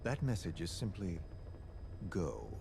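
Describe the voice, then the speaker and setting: Deep-voiced, coldly menacing game villain. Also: male voice, wildly swooping pitch, gravelly, noisy background, exaggerated voice. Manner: theatrically polite, measured, taunting. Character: menacing, composed middle-aged male villain interrogator, coldly philosophical. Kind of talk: video game dramatic dialogue.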